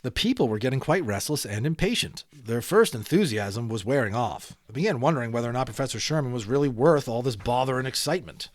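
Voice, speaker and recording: A man's voice: medium pitch, lively intonation, fast, hardly any pauses, dry recording. A man narrating a children's audiobook expressively, with dramatic emphasis and chatty asides to listeners.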